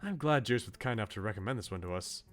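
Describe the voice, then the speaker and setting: A bookish young male student from a visual novel, read aloud by a man. He muses philosophically in articulate, politely opinionated phrases, his pitch moving freely. Light music sits underneath. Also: lively intonation, fast, lightly acted. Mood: musing, conceding.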